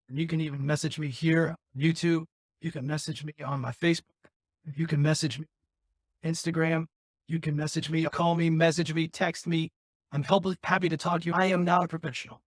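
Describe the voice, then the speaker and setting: A man with a raspy voice hosting a podcast, talking candidly and earnestly about his own life, rambling with frequent restarts. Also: a little high, dry recording, studio quality. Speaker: podcast host. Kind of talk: candid personal talk.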